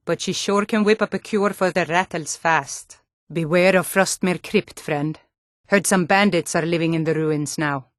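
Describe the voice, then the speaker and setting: A woman's voice: lowish voice, no room sound, clean studio sound, close to an everyday voice. An actress playing a tough, blunt Nordic warrior woman in a fantasy video game, speaking firmly with a Nordic accent. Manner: firm, blunt.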